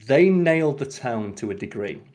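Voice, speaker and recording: A man hosting a podcast, chatting casually and retelling scenes in an animated, rambling way full of filler "like". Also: mid-range voice, normal speed. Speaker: podcast host. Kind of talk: casual podcast chat about films.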